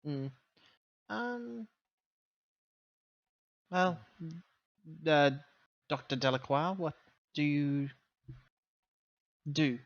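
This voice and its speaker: A man's voice: a little high, lively intonation, drawn-out words, vocal fry, pauses often, no room sound, muffled recording. A man chatting casually as a player in a tabletop roleplaying game.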